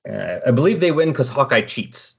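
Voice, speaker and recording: A man with a husky voice chatting casually on a podcast, explaining and recapping in a rambling way. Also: medium pitch, very animated, normal speed. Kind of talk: casual comic book discussion. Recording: dull lo-fi voice recording.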